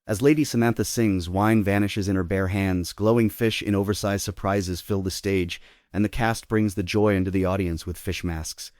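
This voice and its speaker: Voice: low-pitched, fairly even intonation, moderate pace, darkish tone, dry recording, clean studio sound. A narrator reading scripted stage directions at a theatre table read.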